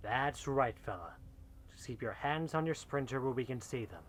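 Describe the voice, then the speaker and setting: An actor playing an excitable outlaw henchman in a sci-fi western drama, with casual, wry talk. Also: medium pitch, normal speed, natural voice. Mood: menacing.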